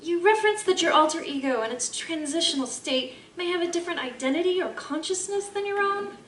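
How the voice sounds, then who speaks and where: Woman acting a high-voiced formal counsellor, echoing room. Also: animated, brisk, a bit bright. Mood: reasoning, persuasive. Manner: formal, polite, reasoning. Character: courteous, reasoning woman questioning and counselling a troubled scientist. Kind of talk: formal period audio drama acting. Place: live convention performance in a room. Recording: roomy, noisy live stage recording, distant mic.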